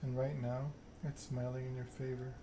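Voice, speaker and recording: A man voicing a proud, scheming vampire in a measured, self-important monologue, read aloud in an ASMR style from a game. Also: mid-range voice, little pitch movement, background noise, close to an everyday voice. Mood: smooth, persuasive. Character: proud, scheming vampire aristocrat telling their story.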